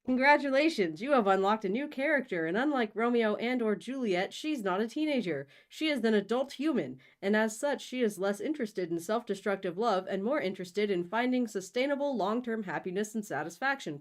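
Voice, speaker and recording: A woman's voice: mid-range voice, expressive, fairly quick, few pauses. A woman co-hosting a livestream, talking and reading aloud in a playful, informal way. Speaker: co-host of a book-reading livestream. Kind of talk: casual livestream chat and read-aloud.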